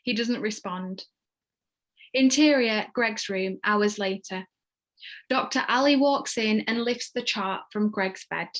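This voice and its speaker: A woman's voice: mid-range voice, slow pace. A woman reading stage directions as the narrator of a screenplay, in scripted narration.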